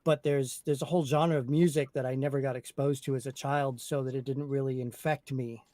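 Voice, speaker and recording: A man chatting casually, telling stories in a slow, reflective way. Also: medium pitch, fairly even intonation, warm tone, no room sound. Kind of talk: casual chat.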